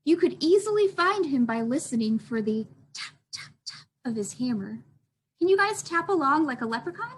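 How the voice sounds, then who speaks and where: High-pitched, animated woman reading to children. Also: normal speed, a little hiss or hum. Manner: addresses young listeners with questions and expressive delivery. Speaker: children's librarian reading a picture book aloud. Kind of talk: children's storytime read-aloud.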